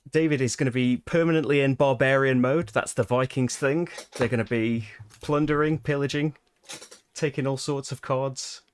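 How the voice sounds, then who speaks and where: A man's voice: mid-range voice, slight background noise. A British man hosting a live board game playthrough, chatting casually and laughing often at his own expense. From Britain. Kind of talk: casual live-stream chat.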